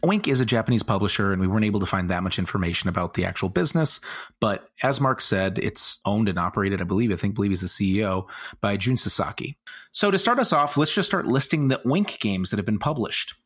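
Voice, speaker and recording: A man's voice: fairly low pitch, expressive, fast talker, fairly bright tone, slightly rough, no echo, clean studio sound. A man co-hosting a casual podcast, explaining things in an eager, descriptive way. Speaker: podcast co-host.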